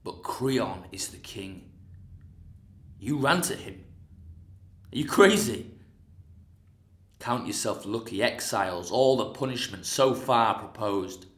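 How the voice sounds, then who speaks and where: A young man in his twenties playing a scornful, exasperated ancient Greek hero in a loud, lecturing monologue, recorded in a roomy space. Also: on the low side, animated, slow, long pauses. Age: 20s. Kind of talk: drama school monologue. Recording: roomy echo.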